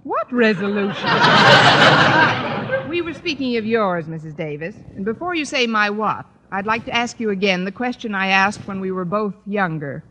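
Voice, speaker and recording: An actress playing a sardonic schoolteacher who makes dry wisecracks, in the style of 1940s radio comedy. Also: female voice, mid-range voice, normal speed, big-room sound, highs cut off, natural voice. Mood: wry, sardonic. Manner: dry, sarcastic, deadpan wisecracks. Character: wry, sardonic unmarried woman English teacher. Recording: very noisy old radio recording.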